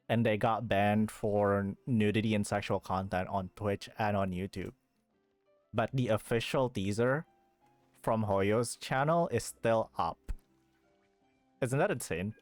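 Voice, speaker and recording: A woman's voice: rumbling low, darkish tone, no echo. A woman livestreaming a game in casual chat, rambling, swearing freely and reacting with disbelief. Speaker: livestreamer playing a video game. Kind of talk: casual live chat and commentary. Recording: game music behind the voice.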